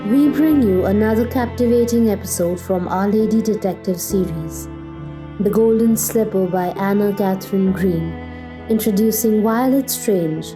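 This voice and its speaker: A woman's voice: mid-range voice, low-quality recording. A woman announcer reading a scripted introduction for a detective radio drama.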